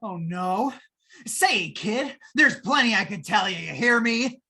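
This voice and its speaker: A woman's voice: mid-range voice, lively intonation. A woman playing a jittery, wheedling, wisecracking repairman in a 1950s radio play, talking in slangy patter. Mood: nervous, wheedling. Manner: slangy fast-talking gangster-style patter. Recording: noisy live radio play stream.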